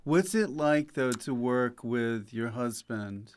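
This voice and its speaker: An American man hosting a casual comedy interview, chatting in a halting, rambling, teasing way. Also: medium pitch, smooth. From United States. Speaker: podcast host.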